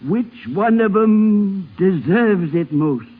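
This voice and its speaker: A husky-voiced ancient grandmother, imperious and shrewd, drags out her needling questions with big swoops of pitch. It is vintage radio drama with a dull, aged sound. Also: lively intonation, slow. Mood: probing, shrewd. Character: very old matriarch grandmother, shrewd, fretful, commanding. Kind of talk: 1950s radio drama acting.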